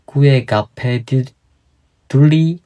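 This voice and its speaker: A speaker giving a casual comic monologue, full of playful, drawn-out wordplay. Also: medium pitch, dark tone.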